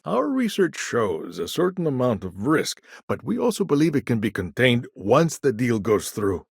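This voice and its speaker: An actor playing a shrewd, guarded businessman in a video game, with a measured, wry, businesslike way of talking. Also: mid-range voice, big pitch swings, vocal fry, husky, dry recording, studio quality, heavily acted. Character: shrewd, guarded corporate businessman, smooth-talking and self-assured. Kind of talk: scripted game dialogue.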